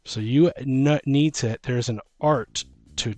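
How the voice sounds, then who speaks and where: A man's voice: medium pitch, takes it slowly, darkish tone, no room sound, soft background music. A man in a casual podcast discussion, talking informally and arguing his point through hypothetical examples.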